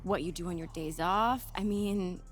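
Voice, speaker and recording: Low-voiced, confident young business manager played by a woman. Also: moderate pace, over music, close to an everyday voice. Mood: assured, explanatory. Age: young adult. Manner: assured, explanatory. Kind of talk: naturalistic video game cutscene acting.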